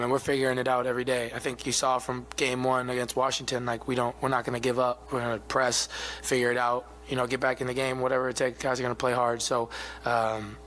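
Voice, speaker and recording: A man's voice: medium pitch, a little hiss or hum, poor audio. A man in his twenties, a basketball player, answering questions at a postgame press conference with many fillers, speaking into a table mic in an arena press room. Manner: soft-spoken, even, many fillers. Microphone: table mic in front of him.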